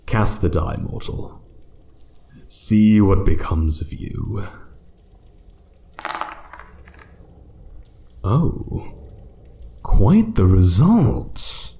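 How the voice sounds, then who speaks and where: A man voicing an ancient, playful yet menacing dragon in a fantasy ASMR roleplay, speaking theatrically and condescendingly, sometimes hushed. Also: deep voice, sing-song, very slow, full character voice. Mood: smug, condescending. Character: ancient male dragon, playful, menacing hoard guardian toying with intruder.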